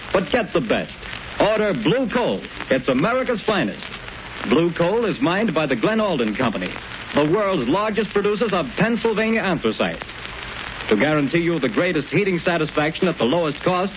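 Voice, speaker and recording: A man reading a sponsor advertisement in an earnest, persuasive 1930s radio announcer style. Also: a little high. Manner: earnest, persuasive salesman delivery. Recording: muffled old radio broadcast with hiss.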